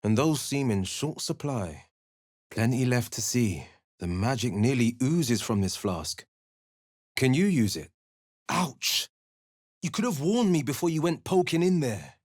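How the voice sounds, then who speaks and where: A dashing, dutiful young warlock hero delivers earnest clipped lines in a video game. His pitch rises and falls freely while he keeps an unhurried pace. The studio sound is close and dry. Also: sing-song, a little mellow, no room sound. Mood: resolute. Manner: earnest, crisp one-liners. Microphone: close studio mic.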